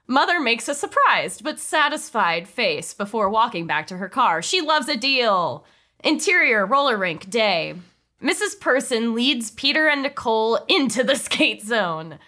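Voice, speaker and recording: Casting: female narrator, bright and expressive, higher register, reading screenplay directions for comedy. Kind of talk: scripted narration at a comedy table read.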